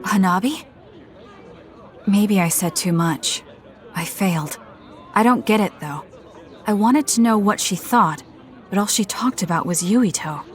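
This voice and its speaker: A woman voicing a calm, blunt young soldier heroine in an anime-style game, speaking in a measured, matter-of-fact way. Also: medium pitch, faint music behind, a faint crowd, lightly acted. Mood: reflective. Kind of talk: anime game cutscene acting.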